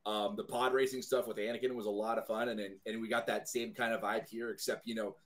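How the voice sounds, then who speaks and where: Guy w a lowish voice, guest on a fan podcast, just chatting casually. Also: flat delivery, fast.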